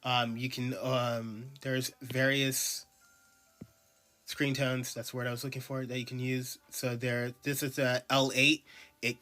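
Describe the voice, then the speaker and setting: A man's voice: medium pitch, little pitch movement, measured pace, pushed and bright. A man talking casually while he draws, congested from allergies, rambling with many ums and uhs. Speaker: artist streaming a drawing session. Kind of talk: casual talk while drawing.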